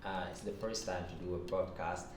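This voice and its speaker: A man's voice: deep voice, steady tone, brisk. A man in his thirties from Sudan with a Sudanese Arabic accent, a native Arabic speaker, hosting an informal podcast interview. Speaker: podcast host. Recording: echoey room, distant mic, background noise.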